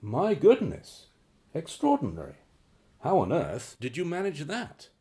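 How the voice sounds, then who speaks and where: Slow, theatrical, suave crime boss. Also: male voice, a little high, wildly swooping pitch, mellow. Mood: amused, suave. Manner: theatrical, condescending, chuckling politeness with drawn-out phrases. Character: suave, menacing, drawling male crime boss, mockingly genteel. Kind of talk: radio play acting.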